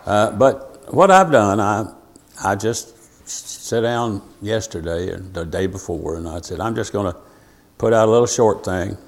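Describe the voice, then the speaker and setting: An older man gives an informal sermon, rambling and halting in a folksy, storytelling way. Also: fairly low pitch, animated. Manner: rambling, folksy, halting, full of asides and self-told anecdotes. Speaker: preacher teaching Bible prophecy.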